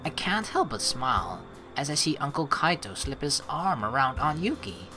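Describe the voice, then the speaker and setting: A woman's voice: a bass voice, big pitch swings, normal speed, music in the background. A woman hosting a let's play, switching between reading game narration aloud and playful, teasing commentary.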